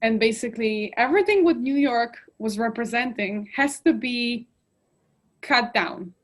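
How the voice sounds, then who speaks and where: A woman in a relaxed chat. Her voice sits a bit high, and she piles up examples with lots of "like" as she talks. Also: measured pace. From Poland. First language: Polish. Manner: expressive, lists details, frequent "like" fillers. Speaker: guest. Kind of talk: casual chat.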